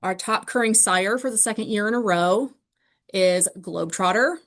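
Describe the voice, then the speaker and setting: A woman's voice: mid-range voice, normal speed. Woman reading out award winners on a call. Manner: steady listing of names with frequent ums. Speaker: awards ceremony presenter. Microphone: video call.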